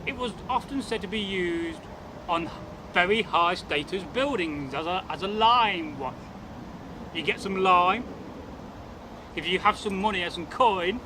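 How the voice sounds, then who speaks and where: A man telling a folk tale as a storyteller, in a deliberate, repetitive way with old-fashioned phrasing. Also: high voice, noisy background. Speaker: channel host and storyteller.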